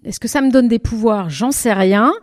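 A woman's voice: a little high, normal speed, darkish tone. Reflective French-speaking woman singer. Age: over twenty. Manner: thoughtful, often restarts and rephrases. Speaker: singer, interview guest. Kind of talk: reflective interview talk.

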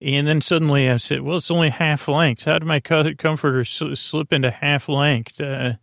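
A man with a creaky voice hosting a bedtime podcast, rambling hesitantly and often losing his thread. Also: on the high side, fairly even intonation, normal speed, a bit bright, dry recording, clean studio sound. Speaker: podcast host.

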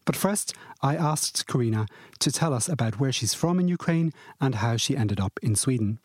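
A man hosting a podcast, reading a measured scripted introduction and asking interview questions. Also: medium pitch, animated, darkish tone, slightly rough, no echo, studio quality. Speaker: podcast host.

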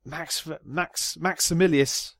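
A man's voice: on the high side, slow, creaky, fairly bright tone, no echo, studio quality. A man co-hosting a casual podcast about motor racing, joking and laughing often. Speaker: podcast co-host.

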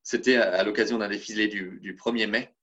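A man's voice: on the low side, steady tone, a little fast, a bit bright, lo-fi sound. A man from France speaking in a casual interview, hesitant and full of restarts. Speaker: interview guest.

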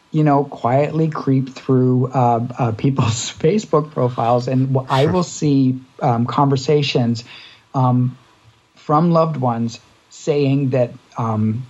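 A man's voice: mid-range voice, dark tone, breathy edge, lo-fi sound. A man from Canada, a true crime podcast co-host, chatting casually in an interview, talking in long rambling stretches with restarts. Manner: rambling, many restarts and fillers.

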